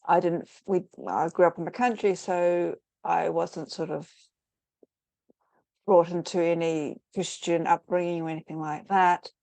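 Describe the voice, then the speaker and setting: A woman's voice: fairly low pitch, steady tone, measured pace, creaky, warm tone, no room sound. A middle-aged woman, a guest in an online interview, rambling thoughtfully with many fillers and restarts. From New Zealand. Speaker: interview guest, spiritual teacher.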